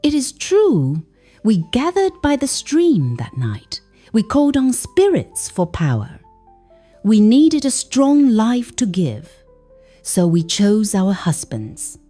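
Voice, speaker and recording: A woman's voice: a little high, wildly swooping pitch, slow pace, dark tone, no echo, faint music behind, pitched above the performer's own voice, exaggerated voice. Woman playing a fearful, guilty village woman. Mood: guilty confession.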